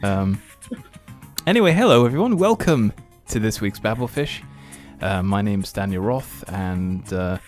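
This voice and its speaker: A man's voice: fairly low pitch, expressive, measured pace, darkish tone, faint music behind. A man from Austria hosting an entertainment podcast in a casual, rambling and hesitant chat style. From born and partly raised in Austria. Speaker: podcast host.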